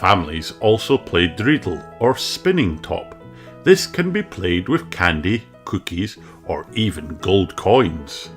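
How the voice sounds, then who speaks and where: An expressive storybook reader for young children. The delivery is unhurried and swings widely in pitch, with music playing underneath. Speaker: audiobook reader. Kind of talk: children's storybook read-aloud.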